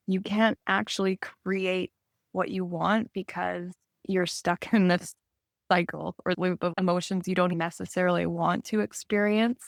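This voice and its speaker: A woman's voice: on the low side, no room sound, clean studio sound. A woman podcast co-host in casual, reflective conversation about personal matters, laughing easily as she talks. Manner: laughs easily while talking. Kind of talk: casual reflective spiritual chat.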